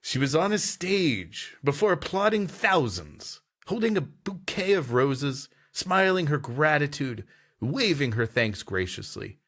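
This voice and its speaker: A man's voice: fairly high pitch, sing-song, measured pace, a bit bright. A man co-hosting a podcast, wryly and dramatically narrating a vintage sci-fi story and joking with his co-host. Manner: wry, laughs easily, dramatic narration.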